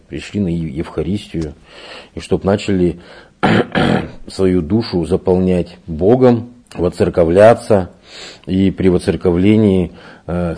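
Man, parish catechist being interviewed; low husky voice, slow and explanatory, lots of "uh"s. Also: warm tone. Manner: unhurried, explanatory, many hesitations. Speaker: church catechist. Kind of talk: interview answers. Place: parish room.

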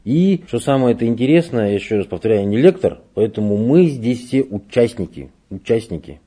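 A man working as a parish catechist, answering interview questions in an unhurried, explanatory way with frequent hesitations. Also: medium pitch, big pitch swings, dark tone, slightly rough. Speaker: church catechist. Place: parish room.

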